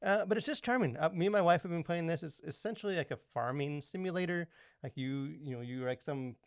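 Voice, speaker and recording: A man, probably in his thirties, co-hosting a podcast with casual, rambling, halting talk full of filler words. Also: a little high, clear, resonant tone, dry recording, clean studio sound. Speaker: podcast co-host.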